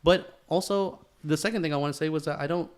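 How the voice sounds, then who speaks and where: A man's voice: a little high, quick pace, clear, resonant tone. Earnest man chatting casually. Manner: earnest, profanity-laced, bro-style slang. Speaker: podcast host. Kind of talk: casual podcast chat.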